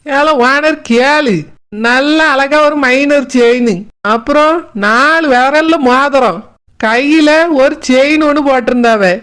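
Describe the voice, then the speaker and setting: A high-pitched old village grandmother played by a woman chats in a Kanyakumari Tamil accent. She is proud and teasing, telling stories in colloquial cartoon-comedy style. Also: slow pace, a bit bright. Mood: proud, nostalgic. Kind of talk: comic cartoon dialogue, storytelling.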